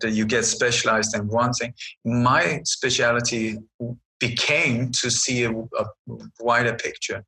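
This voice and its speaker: Emphatic man, bright voice. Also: medium pitch, rough recording. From Sweden. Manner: emphatic and sarcastic, storytelling. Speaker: guest researcher. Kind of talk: interview answers.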